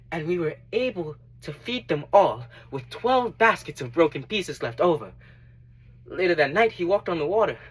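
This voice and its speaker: A man's voice: a little high, a bit bright, slightly rough, pitched above the performer's own voice, exaggerated voice, low-quality recording. A man playing an earnest, devoted biblical disciple. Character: Matthew, repentant tax collector turned devoted disciple, earnest, grieving. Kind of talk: dramatic monologue, first-person testimony.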